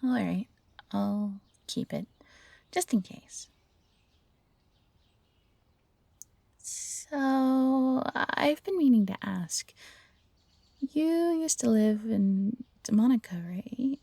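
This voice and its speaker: Woman playing a soft husky fox-hybrid servant gal, earnest n hesitant, bit creaky, audio roleplay, really dry close sound. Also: a little high, animated, slow pace, dry recording, exaggerated voice. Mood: gentle, hesitant. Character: gentle, eager fox-hybrid former servant woman learning magic. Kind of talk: intimate roleplay audio drama.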